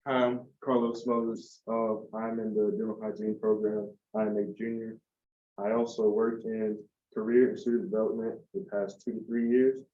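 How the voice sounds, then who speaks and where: Flat, low man in an echoey room. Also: moderate pace. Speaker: dental hygiene student. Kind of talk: self-introduction at a group meeting. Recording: muffled, echoey room, from far off.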